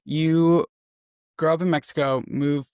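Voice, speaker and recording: A man's voice: on the high side, steady tone, measured pace, darkish tone, smooth, no room sound. Upbeat man hosting a podcast, dry sound. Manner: upbeat, enthusiastic interviewer. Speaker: podcast host. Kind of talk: scripted intro then casual interview.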